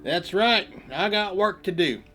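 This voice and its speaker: A man's voice: high-pitched, animated, unhurried, fairly bright tone, soft background music, pitched above the performer's own voice. A man voicing a skeptical, busy villager in a folk tale, drawling complaints. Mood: grumbling.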